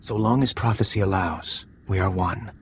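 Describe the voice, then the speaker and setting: An actor playing a dutiful young alien bridegroom in a video game, speaking formally and with restraint. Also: male voice, low voice, normal speed, vocal fry, noisy background. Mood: solemn, tender.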